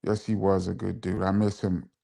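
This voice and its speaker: A man hosting a live stream as a DJ, chatting casually as he reads out viewer comments and reminisces, giving shout-outs and repeating phrases for emphasis. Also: sits low, flat delivery, moderate pace, gravelly.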